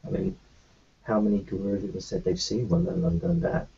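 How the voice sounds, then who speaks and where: A man in an in-character tabletop roleplay, talking in a halting, reassuring way with many restarts. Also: on the low side, big pitch swings, creaky, warm tone, dull audio, slight background noise.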